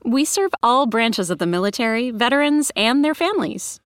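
A woman's voice: on the high side, lively intonation, moderate pace, a bit bright, no echo, clean studio sound. A woman playing an obliging call-centre agent in an advert, with polite customer-service delivery.

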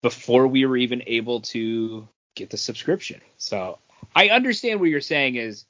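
A man's voice: medium pitch, animated, moderate pace, a bit bright, no echo. A man co-hosting a podcast, explaining his view in casual chat. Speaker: podcast co-host. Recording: dull, dry lo-fi audio.

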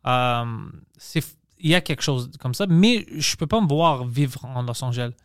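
Sweary bilingual comic host, halting accented Quebec French. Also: male voice, mid-range voice, animated, fairly bright tone, clean tone, no room sound, clean studio sound. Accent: non-native Quebec French with English mixed in. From Montreal, Canada. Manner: sweary, halting French peppered with English slang. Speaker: podcast host and stand-up comedian. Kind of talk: casual podcast chat.

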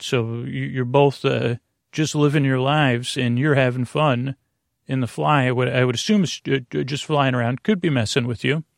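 Male podcast host, creaky and close-recorded dry sound, rambling bedtime monologue with halting restarts. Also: mid-range voice, no room sound. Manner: halting, repetitive, digressive, stammering restarts.

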